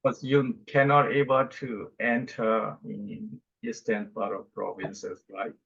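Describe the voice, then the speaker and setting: A man's voice: fairly low pitch, little pitch movement, takes it slowly, vocal fry, a bit bright, breathy edge. A man interviewing in broken English, asking questions and checking with tags. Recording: dull lo-fi audio.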